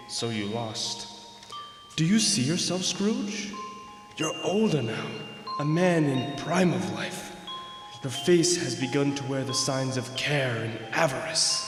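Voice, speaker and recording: Echoing, slow, solemn ghostly spirit played by a man. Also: mid-range voice, natural voice. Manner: solemn, deliberate, reproachful. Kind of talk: radio drama acting. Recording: echoey hall reverb, music behind.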